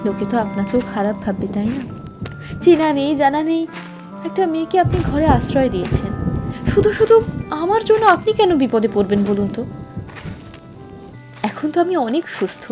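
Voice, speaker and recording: A woman playing a shy, emotional young woman in love in an animated romance, speaking tenderly and hesitantly. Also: high voice, fairly quick, pauses often, music in the background. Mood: gently worried. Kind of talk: animated romance drama voice acting.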